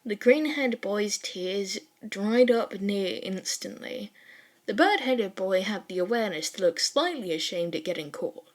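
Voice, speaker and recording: A woman with a mid-pitched voice narrates fan fiction like a homemade audiobook. Her reading is expressive and informal, with casual swearing. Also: unhurried.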